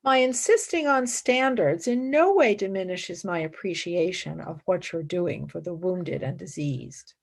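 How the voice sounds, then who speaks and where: A woman playing an earnest, principled young philosopher and wartime nurse in a radio play, with measured, emphatic delivery. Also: fairly low pitch, expressive, creaky, heavily acted. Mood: firm, earnest. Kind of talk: dramatic radio play acting.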